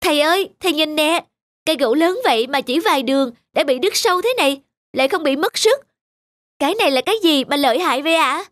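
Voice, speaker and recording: Eager high-voiced young apprentice. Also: female voice, expressive, fast, a bit bright, dry recording. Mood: amazed. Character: young male carpenter's apprentice, deferential, eager. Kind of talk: cartoon folk-tale dubbing. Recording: music behind the voice.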